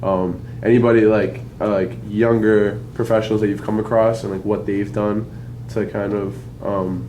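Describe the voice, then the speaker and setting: Brief: young low-voiced man, rambling and hesitant, faint background noise. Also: little pitch movement, normal speed, dark tone, low-quality recording. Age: 20s. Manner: hesitant, many fillers. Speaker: workshop attendee asking a question. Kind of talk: rambling audience question.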